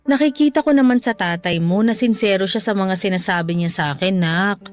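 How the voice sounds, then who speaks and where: An actress as a soft-hearted Filipino mother in a radio drama. She speaks earnestly and apologetically, full of feeling, in a medium-pitched voice over background music. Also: fairly quick, close to an everyday voice. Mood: earnest, reflective. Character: tender, apologetic mother pleading with her grown child.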